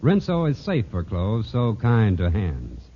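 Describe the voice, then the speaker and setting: A man's voice: on the low side, huge pitch swings, a little slow. A man working as a radio announcer, reading a scripted mid-century soap commercial. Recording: dull, hissy old radio broadcast.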